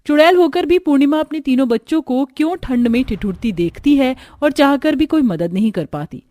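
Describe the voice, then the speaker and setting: A woman's voice: fairly high pitch, darkish tone, no echo, studio quality. A woman narrating a cartoon story in a dramatic scripted style.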